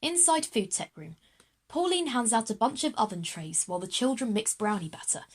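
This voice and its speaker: A woman's voice: a little high, sing-song, moderate pace, breathy edge. Woman narrating a children's audio drama. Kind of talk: scripted narration in present tense.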